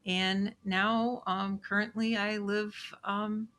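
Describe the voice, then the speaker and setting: A woman in her sixties with a Chicago accent, speaking softly and in a measured way, with frequent pauses, as a podcast guest. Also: mid-range voice, level tone. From Chicago, USA. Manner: soft-spoken, measured, with many pauses and "um"s. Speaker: legal assistant and podcast guest. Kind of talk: casual interview chat.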